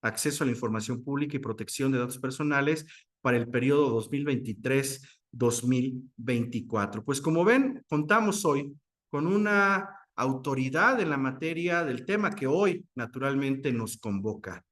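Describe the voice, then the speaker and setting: A man's voice: medium pitch, moderate pace, a bit bright. A man with a Mexican Spanish accent hosting a formal interview, reading an introduction and addressing his guest with ceremonious courtesy. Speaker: interview host.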